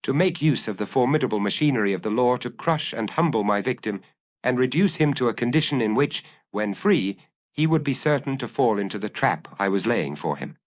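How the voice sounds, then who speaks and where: A man voicing a proud, self-satisfied gentleman thief in an audiobook, boastful and grand in his telling. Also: mid-range voice, animated, a little fast, natural voice. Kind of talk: audiobook character acting.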